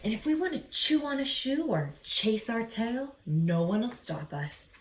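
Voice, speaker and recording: A woman voicing a suave, calm male dog who runs a club, speaking slowly and with emphasis in a storybook reading. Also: on the high side, reverberant, noisy background. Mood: proud, expansive.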